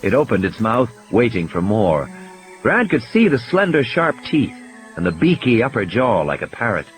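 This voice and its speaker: A man's voice: mid-range voice, big pitch swings, moderate pace, poor audio. A man reading scripted fiction as an audiobook narrator, in a dramatic storytelling style, with music and sound effects behind him.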